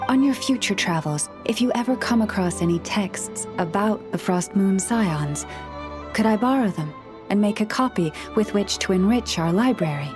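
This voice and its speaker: A gentle, learned young priestess of a fantasy game, voiced by an actress. Her voice sits at an average height for a woman, and she speaks formally and courteously in measured phrases. Music plays clearly behind her. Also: mid-range voice, expressive, close to an everyday voice. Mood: gracious, courteous. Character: gentle, scholarly young woman, a nature-loving moon priestess. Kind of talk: video game voice acting. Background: background music.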